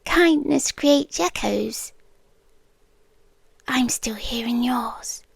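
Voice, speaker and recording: A woman acts out an obsessive monster queen with a British accent in an ASMR roleplay. Her voice is high and hushed, often sinking into a whisper, very close and dry. She speaks slowly and regally, with a soft, possessive menace. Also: vocal fry, slightly rough, no room sound, full character voice. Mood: wistful, menacing. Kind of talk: ASMR roleplay, intimate scripted monologue.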